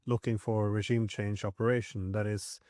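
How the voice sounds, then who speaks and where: A man hosting a conversational political interview, phrasing his points haltingly as he builds towards a question. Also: lowish voice, flat delivery, a little mellow, no room sound, clean studio sound. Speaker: interview host.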